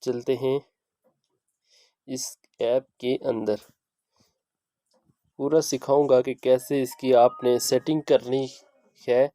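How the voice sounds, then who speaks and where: A man's voice: medium pitch, mellow. A man hosting a how-to tutorial, speaking instructionally and repeating each step as he goes. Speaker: video host giving an app tutorial.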